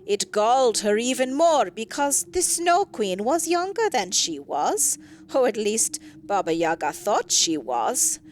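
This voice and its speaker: Female storybook narrator, fairly high voice, very animated, with a wry theatrical delivery. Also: a little slow, a little hiss or hum. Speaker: story reader. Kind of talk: expressive scripted storybook narration.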